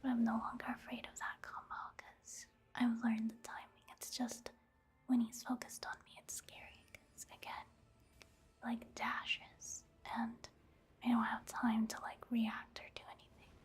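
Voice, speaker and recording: Whispering woman doing soft ASMR game commentary. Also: fairly high pitch, monotone. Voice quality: breathy, whispery. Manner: hushed, casual, unhurried musing. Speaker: ASMR creator commenting on gameplay.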